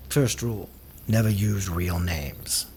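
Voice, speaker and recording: An actor playing a guarded, morally murky criminal in an audio drama, curt and controlled. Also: male voice, low voice, slow, background noise. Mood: cool, instructing.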